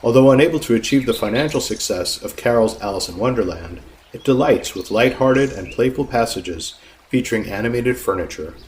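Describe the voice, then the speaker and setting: A man's voice: medium pitch, lively intonation, brisk, a little mellow. A man reading scripted literary introductions as a podcast narrator, formal and expository.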